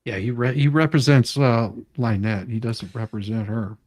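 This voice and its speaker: A man, co-hosting an online show with halting, repetitive commentary and read-aloud questions. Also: medium pitch, a little mellow, dry recording. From Southern United States. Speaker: online show co-host reading a deposition. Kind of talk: casual commentary and dramatic reading.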